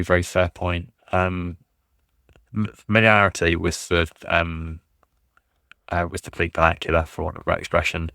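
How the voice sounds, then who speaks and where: A man fielding questions in a casual livestream, rambling with many ums and restarts in a low voice. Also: creaky, fairly bright tone, clean tone, no room sound, clean studio sound. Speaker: fleet commander answering questions.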